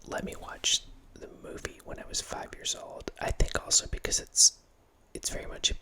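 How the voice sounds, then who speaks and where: A man in his thirties hosting a whispered ASMR ramble, speaking softly right into a close studio microphone in a small home room, with lots of self-corrections. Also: normal speed. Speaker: ASMR channel host. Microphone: close studio microphone, wearing headphones.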